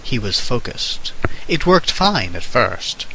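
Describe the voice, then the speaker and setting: A man's voice: medium pitch, big pitch swings, slow, vocal fry. A man giving an amateur dramatic reading of a comic essay in a mock-serious, theatrical manner. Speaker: amateur reader. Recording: hissy amateur microphone recording.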